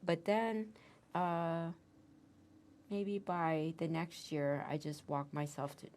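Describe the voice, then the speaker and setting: Woman, 50s, American accent, deep low voice, soft-spoken, hesitant restarts, interviewee. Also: little pitch movement, takes it slowly, slight background noise. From Korea, raised in the United States. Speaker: interviewee, Korean adoptee. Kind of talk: reflective interview.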